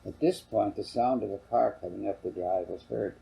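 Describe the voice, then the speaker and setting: An older man with a gravelly, husky voice, reading a novel aloud as narrator. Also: on the low side, normal speed, warm tone, poor audio. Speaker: author reading own novel. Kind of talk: scripted audiobook narration.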